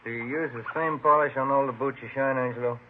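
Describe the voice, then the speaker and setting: An actor playing a steady, polite police detective who questions plainly in an old radio crime drama. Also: male voice, medium pitch, quick pace, a little hiss or hum, lightly acted. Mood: patient, probing. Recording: tinny vintage radio recording.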